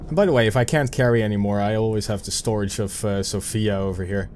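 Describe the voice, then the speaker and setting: A man's voice: fairly low pitch, steady tone, quick pace, darkish tone, a little hiss or hum. A young Dutch man with a Dutch accent, chatting casually to himself as he narrates his own gameplay, muttering and joking at his own expense. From Netherlands. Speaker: gameplay commentator. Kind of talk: casual let's play commentary.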